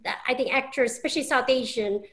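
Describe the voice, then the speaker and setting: A woman's voice: high-pitched, fast, fairly bright tone. A young English woman, a podcast guest, talking casually with many restarts and fillers. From England.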